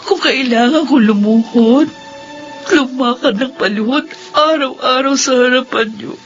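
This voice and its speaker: A woman's voice: high voice, unhurried. A woman playing a devoted wife who tearfully pleads and prays for her injured husband. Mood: praying. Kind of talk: Filipino radio drama acting. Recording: lo-fi radio recording with music.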